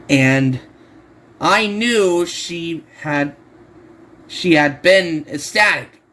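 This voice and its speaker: A man narrates a creepy story as an amateur reader. His voice sits quite high for a man and he goes slowly. His delivery is halting, with words repeated and restarted as he reads. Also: fairly bright tone. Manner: halting, stumbles and repeats words while reading. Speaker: narrator of horror stories. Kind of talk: amateur creepypasta reading aloud.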